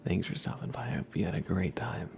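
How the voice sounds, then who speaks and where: A livestream host speaking softly, often in a whisper, chatting casually and gently with viewers in an ASMR style. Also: sits low, fast talker, creaky, a little hiss or hum. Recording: music behind the voice. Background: plucked guitar playing.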